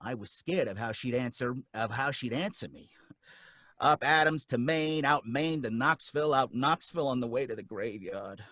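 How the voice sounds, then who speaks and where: A man's voice: medium pitch, moderate pace, sharp tone, no room sound, studio quality, close to an everyday voice. An actor playing a nervous working-class cab driver who narrates his own story, rambling in folksy street talk. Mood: scared. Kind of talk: radio drama acting.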